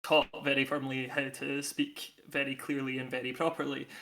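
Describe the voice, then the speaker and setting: Bright-toned man with a light Scottish accent. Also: mid-range voice, flat delivery, normal speed, gravelly. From Scotland. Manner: wry and chatty, laughs mid-sentence. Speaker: production manager. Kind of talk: casual chat on a live stream.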